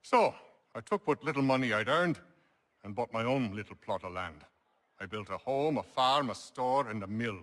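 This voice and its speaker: An actor playing a genial, well-spoken colonial landowner and merchant, articulate and wry, in a video game cutscene. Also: male voice, mid-range voice, animated, normal speed, fairly bright tone, close to an everyday voice. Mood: wry, reminiscing.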